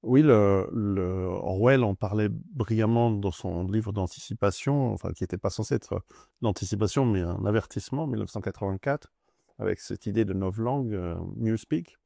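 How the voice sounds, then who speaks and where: A man's voice: fairly low pitch, gravelly, mellow, dry recording, studio quality. A man with an Italian accent whose first language is Italian, a guest in a discussion, speaking thoughtfully with frequent restarts. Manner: thoughtful, halting, many restarts and fillers. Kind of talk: conversational discussion.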